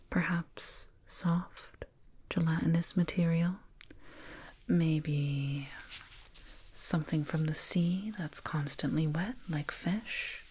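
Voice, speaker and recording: Slow, breathy whispering woman. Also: low voice, a little mellow. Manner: slow, soothing, patronizing, deliberate. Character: condescending, eerily soothing alien dentist tending a human. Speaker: ASMR roleplay performer. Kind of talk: whispered ASMR roleplay. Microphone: close binaural microphone.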